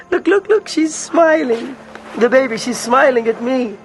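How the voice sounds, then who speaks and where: An actor playing a callous slave merchant in an audio drama, coaxing and polite with menace underneath. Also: male voice, very high-pitched, slow pace, a bit bright, faint music behind, heavily acted, poor audio. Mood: playful, coaxing. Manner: casual, coaxing politeness laced with threat.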